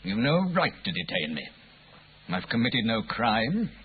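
Defiant male villain, colonel, haughty, clipped, very husky, lo-fi vintage radio drama. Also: fairly low pitch, big pitch swings, unhurried, heavily acted. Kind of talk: 1950s radio drama acting. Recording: muffled, lo-fi 1950s radio recording.

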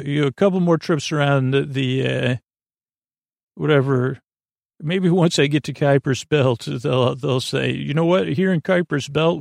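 A man hosting a sleep podcast, improvising a meandering monologue in a halting, absent-minded way and often trailing off mid-thought. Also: mid-range voice, vocal fry, no room sound, studio quality. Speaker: podcast host.

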